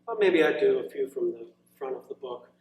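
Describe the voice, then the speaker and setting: A man giving a live poetry reading, moving between measured verse and informal, hesitant asides, recorded in an echoing room. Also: mid-range voice, animated, darkish tone. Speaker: poet reading own work. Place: indoor college reading event, echoing room. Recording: very echoey room, distant mic.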